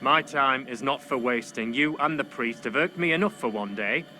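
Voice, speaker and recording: Stern, impatient colonial judge. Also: male voice, mid-range voice, moderate pace, sharp tone, a little hiss or hum. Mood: irritated, dismissive. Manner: curt, commanding, formal. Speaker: actor in a video game. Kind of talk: dramatic period game acting.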